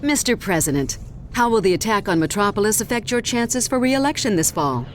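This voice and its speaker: An actress playing a galactic television reporter delivering a broadcast news report. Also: female voice, medium pitch, lively intonation, brisk, lightly acted. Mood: urgent. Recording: loud music bed behind.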